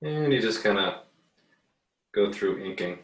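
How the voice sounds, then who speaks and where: A man giving a casual drawing tutorial as an art teacher, thinking aloud in a halting, muttering way while he works. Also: lowish voice, animated. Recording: roomy echo, distant microphone.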